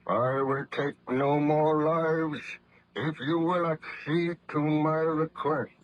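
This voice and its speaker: An actor playing a haunted, fearful baron scientist in formal, melodramatic 1930s radio drama style. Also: male voice, a little high, fairly even intonation, slow, dry recording. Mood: anguished, pleading. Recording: muffled vintage radio recording.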